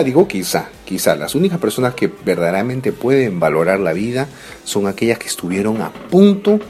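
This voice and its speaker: Deep-voiced man in his thirties, reflective. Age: 30s. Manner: reflective, asks rhetorical questions. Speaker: motivational speaker. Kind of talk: motivational talk to the listener.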